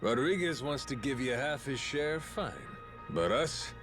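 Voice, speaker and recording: An actor playing a cocky, irreverent pirate captain in a video game, with a slangy, mocking, street-tough manner. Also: male voice, medium pitch, lively intonation, a little slow, lightly acted. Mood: defiant. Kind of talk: dramatic video game voice acting. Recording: game music behind.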